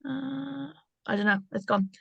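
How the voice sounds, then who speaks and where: Woman, bright forward voice, dry recording. Tentative, informal panel feedback. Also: high-pitched, a little slow, creaky, husky, dry recording, lo-fi sound. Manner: tentative, searching for words. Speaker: script reading panellist. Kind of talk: casual feedback chat.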